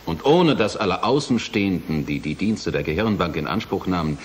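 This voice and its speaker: A guarded senior scientist in a radio drama. His fairly low voice is formal, precise and defensive, over faint recording noise. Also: animated, brisk. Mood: precise, careful. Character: guarded, defensive male research council president, a scientist. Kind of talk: German radio play acting.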